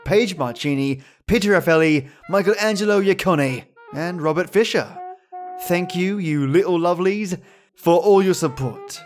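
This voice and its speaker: A male podcast host with a fairly high voice gives a scripted introduction. He speaks slowly, with a theatrical, playful touch. Music plays faintly behind him. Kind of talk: scripted introduction to old-time radio episodes.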